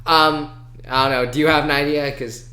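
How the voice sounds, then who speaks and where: Male podcast co-host, casual and halting, forward bright tone, echoey recording. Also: on the high side, steady tone. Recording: roomy, echoey sound.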